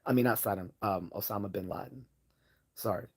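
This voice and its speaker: A man hosting a casual live Q&A, hoarse from losing his voice, rambling with many fillers and restarts as he answers chat questions. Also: fairly low pitch, a little fast.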